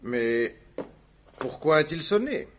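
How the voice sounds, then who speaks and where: An actor playing a calm detective who asks measured, probing questions. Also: male voice, a little high, a little hiss or hum. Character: calm inquisitive male detective. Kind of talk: radio drama acting. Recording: dull lo-fi sound.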